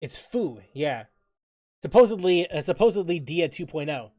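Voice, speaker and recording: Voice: medium pitch, normal speed, no echo. A gaming streamer chatting casually and joking, with mild swearing, while reading chat and commenting on play. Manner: animated, joking, mildly profane, reads chat aloud. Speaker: gaming livestreamer. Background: background music.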